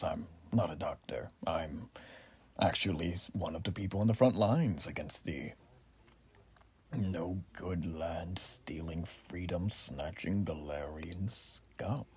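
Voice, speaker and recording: A man playing an earnest, bitterly patriotic revolutionary soldier in a soft-spoken ASMR roleplay, polite and formal with quaint old-fashioned phrasing, his breathy voice often dropping to a whisper. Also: low voice, slight background noise. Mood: calm, attentive, then bitter, scornful.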